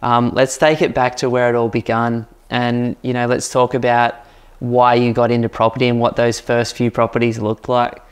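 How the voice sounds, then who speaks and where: This Australian man hosts a property podcast with eager, chatty energy. His voice is light and youthful, and it stays level, with little rise and fall. He stays at a middle pitch for a man and fills his talk with casual fillers. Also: monotone, moderate pace. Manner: enthusiastic, chatty, lots of fillers. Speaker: podcast host.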